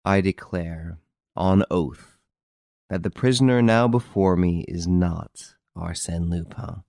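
A man reading as a solemn, low-voiced detective witness. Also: darkish tone, no echo, studio quality. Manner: solemn, deliberate. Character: grave male police detective testifying as witness. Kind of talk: storybook courtroom testimony.

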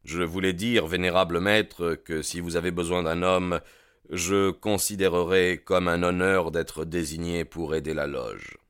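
A man reading aloud, voicing a bold young newcomer who speaks deliberately and confidently. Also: deep voice, fairly bright tone, smooth, dry recording, studio quality.

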